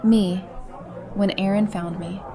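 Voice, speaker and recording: A woman's voice: mid-range voice, steady tone, takes it slowly, close to an everyday voice. An actress playing a wry, candid, friendly woman who talks conversationally in an audio drama. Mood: earnest, confiding. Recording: party music and crowd behind.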